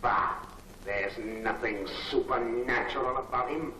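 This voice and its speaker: An actor playing a cold, menacing villainous crime boss in a 1940s movie serial, with a commanding, deliberate, theatrical delivery. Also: male voice, mid-range voice, bright, forceful tone, a little hiss or hum. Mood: stern, commanding. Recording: roomy, distant 1940s film soundtrack with music.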